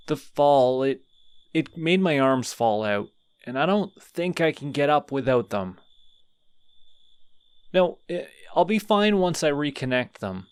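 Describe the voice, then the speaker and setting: A man's voice: mid-range voice, clear, resonant tone, no room sound. A man voicing a friendly, chatty male skeleton who rambles sheepishly in an audio roleplay. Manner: rambling, sheepish, talks to himself.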